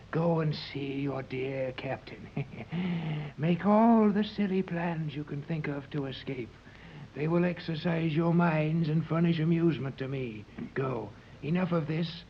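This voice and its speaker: An actor playing an imperious, mocking villain scientist who rules a secret island, in 1930s radio serial style. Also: male voice, fairly high pitch, slow. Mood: cold mockery. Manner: commanding, disdainful. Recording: dull, noisy old 1930s radio recording.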